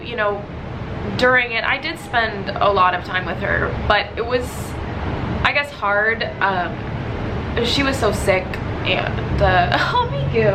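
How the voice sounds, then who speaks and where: A woman's voice: medium pitch, background noise. A stuffy, congested young woman talking candidly in a casual vlog, rambling and heartfelt with many fillers. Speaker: gaming video creator.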